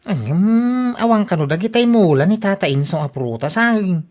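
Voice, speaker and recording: Older farmer husband, stingy, stubborn, Ilocano accent, grumbling, exclamatory, very animated pitch, radio drama. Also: male voice, moderate pace. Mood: grumbling, agitated.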